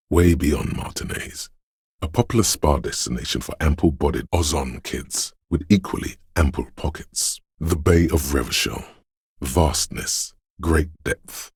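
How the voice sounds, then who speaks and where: Very deep, husky, brooding inner voice. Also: male voice, no echo, clean studio sound, heavily acted. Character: brooding, all-seeing inner voice sensing a wintry city. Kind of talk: slow atmospheric scripted game narration.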